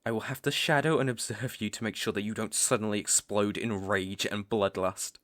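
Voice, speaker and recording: A man with a British accent playing a stern young vampire hunter, menacing yet reluctantly protective, in an ASMR roleplay with deliberate pauses and sighs. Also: on the low side, fairly even intonation, a bit bright, natural voice. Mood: grudgingly impressed.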